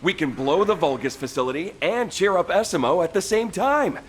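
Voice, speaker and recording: A man playing a sardonic, scheming, excitable squad mate in a video game, wry and animated. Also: sits high, fairly quick, a little hiss or hum.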